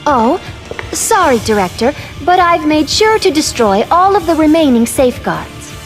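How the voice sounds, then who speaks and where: Girlish game heroine, defiant, dramatic, high-pitched, music behind. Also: sing-song, moderate pace, poor audio. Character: defiant girlish young woman fighter in a game. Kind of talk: dramatic game cutscene acting.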